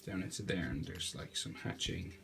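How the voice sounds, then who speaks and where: A man's voice: sits low, normal speed, creaky, background noise. A young man with a cold, sounding nasal, chatting casually as he talks through a drawing step by step. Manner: relaxed, chatty step-by-step instruction. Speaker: drawing tutor. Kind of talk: narrated drawing tutorial. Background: faint music.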